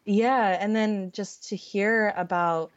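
A young woman with a Californian accent, a guest chatting in a friendly, giggly way with frequent ums and pauses. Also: medium pitch, steady tone, muffled recording. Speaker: podcast guest. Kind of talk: casual conversation over a video call.

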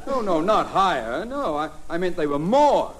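An actor playing a pompous, easily flustered mayor in an old radio comedy, formal in speech and tongue-tied when riled. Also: male voice, high voice, sing-song, normal speed. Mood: stuffy explaining. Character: pompous, easily flustered mayor who tangles his words. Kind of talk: 1940s radio comedy acting. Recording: noisy old radio recording before audience.

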